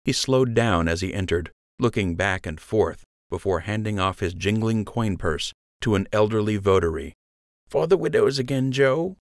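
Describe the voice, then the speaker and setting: A man's voice: deep voice, normal speed, few pauses, dry recording, clean studio sound. A man reading scripted story narration as an audiobook narrator.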